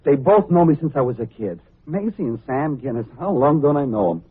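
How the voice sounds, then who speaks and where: An actor playing a suspicious, hostile young street tough, slangy and defensive, in an old-time radio crime drama. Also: male voice, mid-range voice, lively intonation, a little fast, breathy edge. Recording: narrow-band old radio recording.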